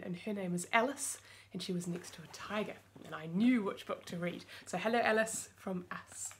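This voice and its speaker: A middle-aged woman, reading a storybook aloud to young children in an expressive way. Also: mid-range voice, slight background noise. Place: small indoor room with bookshelves.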